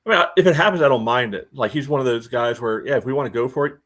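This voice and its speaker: A man co-hosting a sports podcast, giving casual soccer analysis in loose, halting, conversational phrases. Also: mid-range voice, a bit bright.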